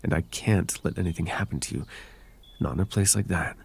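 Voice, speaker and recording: A male voice actor plays a devoted fairy man in an intimate ASMR roleplay. His low voice is breathy and husky, with a gravelly creak. He speaks tenderly and a little shyly, choosing formal, courtly words. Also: fairly quick, heavily acted. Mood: gentle, reassuring. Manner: tender, hesitant, formal old-fashioned phrasing. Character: gentle, devoted, bashful winged fairy man.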